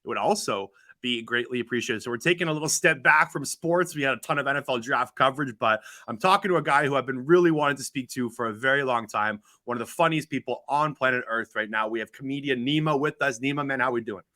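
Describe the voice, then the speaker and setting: A man's voice: medium pitch, fast talker, few pauses, pushed and bright, no room sound, clean studio sound. A Canadian man hosting a podcast, doing a casual conversational interview. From Ontario, Canada.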